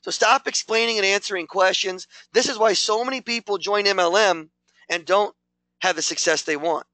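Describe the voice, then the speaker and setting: High-pitched, hyped man coaching a sales team. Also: normal speed, pushed and bright, no echo, poor audio. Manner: punchy, repetitive, hyped coaching. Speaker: network marketing team leader. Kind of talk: motivational training talk.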